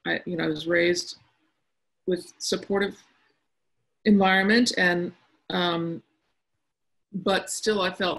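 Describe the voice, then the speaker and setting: Quiet, husky-voiced middle-aged woman. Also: sits low. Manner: quiet, soft-spoken tone with frequent hesitations. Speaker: cartoonist speaking to young listeners. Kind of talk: casual reflective talk answering questions. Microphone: video conference call.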